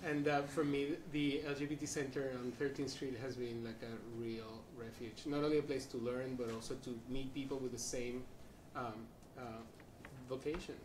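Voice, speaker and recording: A man moderating a panel, relaxed and dryly humorous as he passes questions along, with plenty of hesitant fillers. He sounds some way off the mic in a roomy space, with a faint hiss underneath. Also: mid-range voice, normal speed, distant mic.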